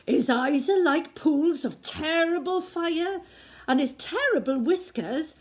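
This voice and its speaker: A woman voicing the Gruffalo, a big hairy male monster, speaking dramatically in a storybook reading. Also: high-pitched, lively intonation, slow, pitched above the performer's own voice. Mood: dramatic.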